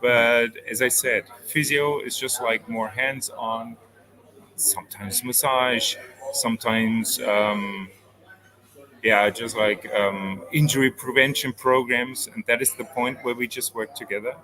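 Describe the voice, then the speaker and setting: A man's voice: medium pitch, steady tone, a little slow, a crowd in the background, low-quality recording. A man from Switzerland, a performance coach, answering interview questions in careful explanations with frequent ums. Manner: explains step by step with many fillers.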